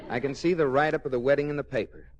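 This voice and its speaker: An actor playing a mild, sardonic, exasperated husband in a 1940s radio comedy. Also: male voice, medium pitch, quick pace, a loud crowd, natural voice. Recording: faintly noisy old radio recording.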